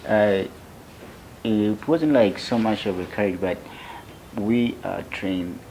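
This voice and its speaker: Ugandan man in his twenties, interview guest; very low, gravelly voice, slow and soft-spoken, faint hiss behind. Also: low-quality recording. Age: 20s. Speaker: young tech founder, interview guest. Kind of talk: interview answers.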